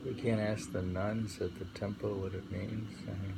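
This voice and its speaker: A man reading poetry aloud and discussing it informally, in an unrehearsed, halting way. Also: fairly low pitch, flat delivery, gravelly. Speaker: poetry reader and host. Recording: faint background ambient noise.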